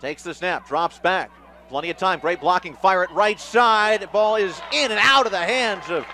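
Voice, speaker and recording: A man's voice: sits high, moderate pace, pushed and bright, a faint crowd. A man doing live radio football play-by-play in clipped phrases, with emphatic calls when the action builds. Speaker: play-by-play announcer.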